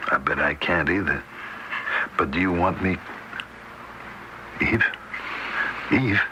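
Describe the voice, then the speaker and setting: Very deep-voiced, weary older husband. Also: male voice, slow pace. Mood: anxious, relieved. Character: weary, devoted older husband, anxious and wry. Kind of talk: 1970s radio drama acting. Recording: noisy 1970s radio broadcast recording.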